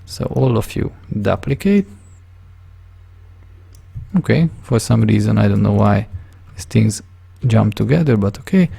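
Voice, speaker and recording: A man from Hungary with a Hungarian accent, narrating a drawing tutorial in a casual, halting way, searching for words and correcting himself. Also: fairly low pitch, lively intonation, gravelly, dark tone, slightly rough.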